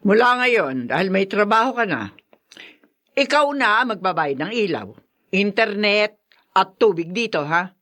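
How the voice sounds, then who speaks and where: Sharp, nagging Filipino mother-in-law. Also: mid-range voice, big pitch swings, unhurried. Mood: demanding. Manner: nagging, scolding, bossy. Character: stern, grudging mother-in-law demanding household money. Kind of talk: radio drama acting.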